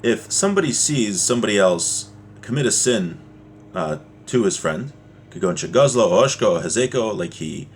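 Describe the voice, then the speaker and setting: A man's voice: fairly low pitch, animated, moderate pace, slight background noise. A man giving an informal religious lesson as a teacher, explaining a text with everyday examples.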